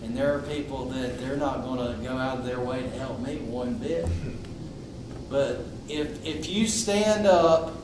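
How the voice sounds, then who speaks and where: Loud outspoken man, echoey room. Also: medium pitch, measured pace, far from the mic. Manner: loud, outspoken, with frequent restarts. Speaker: invited speaker at a public meeting. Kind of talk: impromptu public address. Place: public meeting room. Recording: echoey room recorded from afar, noisy.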